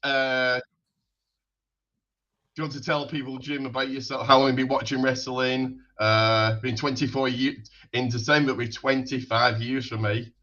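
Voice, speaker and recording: Bright-voiced male podcast host, lo-fi. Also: medium pitch, level tone. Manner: announcer-style build-up, drawn-out names. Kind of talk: hyped show intro, then casual interview chat. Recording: dull lo-fi audio.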